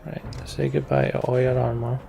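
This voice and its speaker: Man, heavy vocal fry, casual let's play commentary, muttered mild swearing, game music behind. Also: mid-range voice, flat delivery, normal speed. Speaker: let's player.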